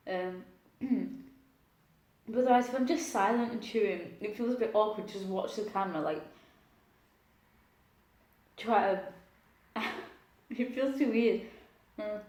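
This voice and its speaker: A woman's voice: medium pitch, darkish tone. A young woman hosting a mukbang, chatting casually to the camera while eating, sniffly and laughing self-consciously. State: sniffly nose. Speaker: mukbang host. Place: at home, indoors. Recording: echoey room.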